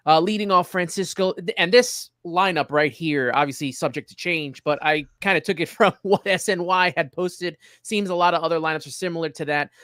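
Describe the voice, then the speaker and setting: A man's voice: on the high side, brisk, hardly any pauses, a bit bright, dry recording, clean studio sound. A man hosting a sports show, presenting casually and informally in short hesitant segments. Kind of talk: casual presenting, reading through a list.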